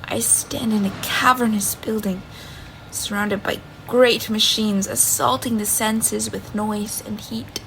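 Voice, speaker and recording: An actress playing an earnest, bewildered woman in her twenties in an audio drama, speaking in a hesitant, deliberate manner. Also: medium pitch, steady tone, creaky, background noise. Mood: haunted, hushed. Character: bewildered, earnest young woman trapped in a stranger's body. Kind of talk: audio drama acting with first-person narration.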